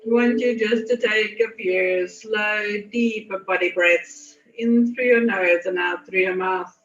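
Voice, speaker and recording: A woman leading a guided meditation in a soothing, unhurried manner with long pauses. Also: medium pitch, steady tone. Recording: muffled, echoey, distant, music behind. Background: meditation music.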